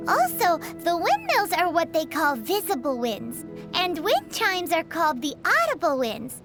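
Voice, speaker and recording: A woman's voice: very high-pitched, wildly swooping pitch, measured pace, exaggerated voice. A woman voicing a tiny, cheeky, chatty floating girl companion in a video game, with game music behind. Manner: bubbly, cheeky, refers to herself by name. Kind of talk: video game dub dialogue.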